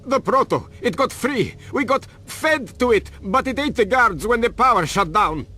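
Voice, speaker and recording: An actor playing a truck driver half-mad with fear in a video game, panicky, muttering and repetitive. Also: male voice, extremely high pitch, animated, moderate pace, bright, forceful tone, slight background noise, exaggerated voice. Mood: panicked. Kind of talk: video game cutscene acting.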